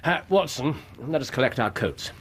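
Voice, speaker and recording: A man's voice: medium pitch, animated, moderate pace, fairly bright tone, breathy edge. A male actor playing a self-assured Victorian detective with a clipped British accent in a radio drama. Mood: brisk, businesslike. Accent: British English. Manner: clipped, formal, authoritative.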